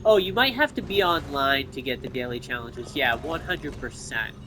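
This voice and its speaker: A man's voice: mid-range voice, animated, moderate pace, background noise. A man giving casual gameplay commentary as host, thinking aloud and speculating as he goes. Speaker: gamer host of a gameplay video.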